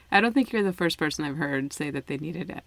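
A woman in a relaxed casual conversation who thinks aloud and laughs lightly. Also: sits low, fairly quick, creaky, no echo. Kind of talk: casual chat.

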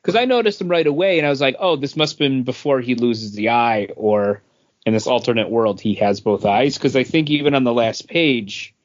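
A man's voice: medium pitch, fairly quick. A man co-hosting a podcast, casually critiquing a comic and pointing out details patiently. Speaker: podcast co-host. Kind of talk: casual comic review chat. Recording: dull lo-fi podcast audio.

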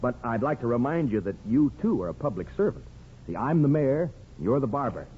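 An actor playing a wry, wordy, placating small-town mayor with jocular, florid phrasing in a 1950s radio drama. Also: male voice, medium pitch, very animated, fairly quick, slightly rough. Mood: jocular coaxing. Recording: muffled, noisy 1950s radio broadcast.

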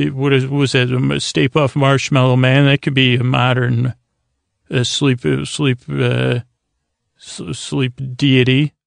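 Gravelly, creaky man rambling softly to soothe. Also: medium pitch, no room sound, studio quality. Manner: meandering, halting, trails off and digresses; self-deprecating, gently reassuring. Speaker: podcast host. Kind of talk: rambling sleep podcast monologue.

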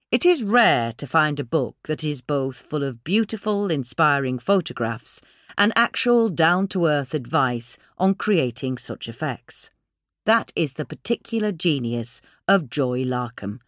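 Woman reading, with very lively intonation. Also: sits low, a little slow, no room sound, studio quality. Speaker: reader of a magazine article. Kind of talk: scripted article narration.

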